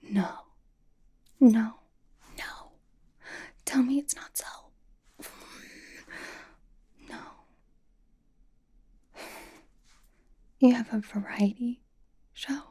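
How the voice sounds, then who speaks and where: A woman playing a bubbly, anxious, caring young K-pop idol in an ASMR roleplay, with an American accent, a soft breathy whisper and a chatty, rambling, reassuring way of talking. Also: on the high side, takes it slowly, exaggerated voice. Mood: pleading, sympathetic. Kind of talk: ASMR personal attention roleplay.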